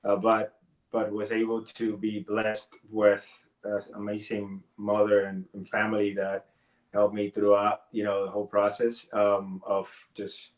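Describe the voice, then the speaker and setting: A man's voice: fairly low pitch, poor audio. A man in his forties from Puerto Rico, a native Spanish speaker, talking as a guest in a casual interview with many restarts and fillers. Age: 40s. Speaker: guest artist.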